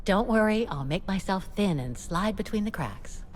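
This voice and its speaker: An actress playing a polite, earnest and determined visitor, the heroine of an adventure game, speaking conversationally. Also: female voice, medium pitch, animated, moderate pace, in a large hall, slight background noise, lightly acted. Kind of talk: video game dialogue acting.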